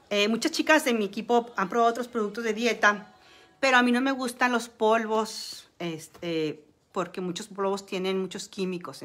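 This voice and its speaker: A chatty woman talks straight to her phone camera in a small room at home. Her voice sits a little high and sounds bright and forward. She rambles casually, filling pauses with fillers as she shows products. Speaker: beauty and wellness vlogger. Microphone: phone camera at arm's length.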